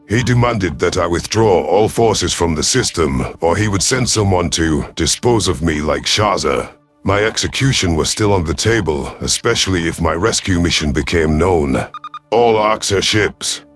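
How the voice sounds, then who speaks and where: A man reading scripted first-person science fiction as a brooding, growling reptilian alien commander. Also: deep voice. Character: brooding, ruthless but conflicted male reptilian alien commander. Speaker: narrator of an audio story channel. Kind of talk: first-person scripted sci-fi narration in character.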